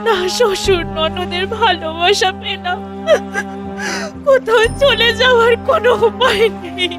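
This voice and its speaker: A meek young orphan bride from a cartoon pleads in a very high, tearful voice. Music runs clearly underneath her. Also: a little slow. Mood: lamenting. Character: young orphan daughter-in-law, meek and sorrowful. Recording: cartoon dub over music.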